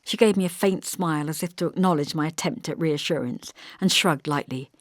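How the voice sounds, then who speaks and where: A woman's voice: sits low, a little fast, no echo, studio quality. A woman reading literary narration expressively as an audiobook narrator.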